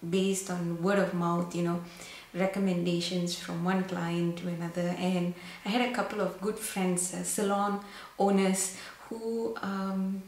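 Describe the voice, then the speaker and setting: A woman's voice: lowish voice, flat delivery, measured pace, a little mellow. A young woman from Singapore chatting to camera in a casual vlog, full of fillers and restarts. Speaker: makeup vlogger. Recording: very echoey room, faint background hiss.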